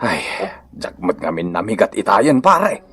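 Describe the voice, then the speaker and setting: A man's voice: on the low side, very animated. An actor playing a hungry, jokey village man who grumbles and exclaims in a comedic radio drama. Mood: hungry, complaining. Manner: exclaiming, drawn-out complaints.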